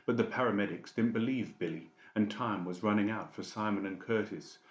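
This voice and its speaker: A man with a low voice narrates a dramatic audiobook from a script. The recording has noticeable room echo and a dull, muffled tone. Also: fairly quick. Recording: muffled, echoey home recording.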